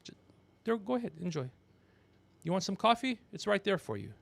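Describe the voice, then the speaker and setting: Rambling, joking man with a higher-pitched voice. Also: very animated, fairly quick, gravelly. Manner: rambling, joking, self-promoting, talks to off-mic crew member. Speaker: podcast host and comedian. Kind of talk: rambling casual livestream chat. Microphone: podcast microphone with headphones.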